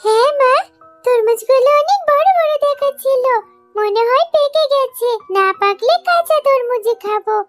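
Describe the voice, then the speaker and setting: Woman voicing an eager, very high-pitched little fox girl. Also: expressive, normal speed, soft background music. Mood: eager, pleading. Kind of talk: children's cartoon dialogue.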